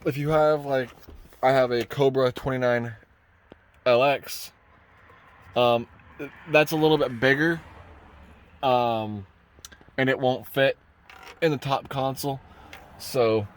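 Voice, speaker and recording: A man gives a casual hands-on DIY truck tutorial in a halting, rambling way, often correcting himself as he goes. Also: medium pitch, fairly even intonation, slight background noise. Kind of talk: casual hands-on how-to talk. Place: inside a parked pickup truck cab.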